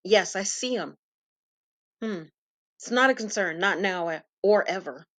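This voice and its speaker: A woman acts out a smug male doctor in a sci-fi audio drama. He is measured and coldly confident, speaking at a medium pitch for a female voice. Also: expressive, fairly bright tone. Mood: smug, dismissive.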